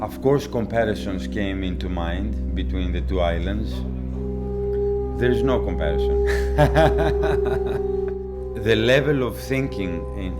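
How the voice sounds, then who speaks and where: A man from Greece with a Greek accent, a native Greek speaker, giving a wry, joking documentary interview about his island's energy cooperative. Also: on the low side.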